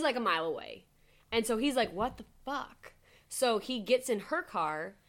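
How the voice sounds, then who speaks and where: Brief: young woman with a high voice, casual true-crime podcast co-host, filler-heavy and chatty. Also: a bit bright.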